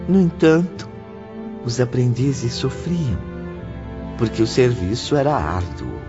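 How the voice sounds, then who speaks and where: A man with a Brazilian accent narrating an audiobook in a solemn, deliberate, scripted style. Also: mid-range voice, animated. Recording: dull muffled audio under loud music.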